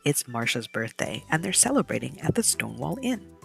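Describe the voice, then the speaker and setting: A woman reading a children's picture book aloud in an expressive, dramatic storytime style, pausing to explain the pictures. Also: sits low, gravelly, soft background music.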